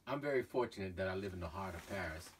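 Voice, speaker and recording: Low-voiced man, halting and far from the mic. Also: animated, breathy edge. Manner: halting, many fillers and restarts. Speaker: painter. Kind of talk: casual explanation of his own painting. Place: cluttered art studio indoors. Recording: voice from far off, faint room noise.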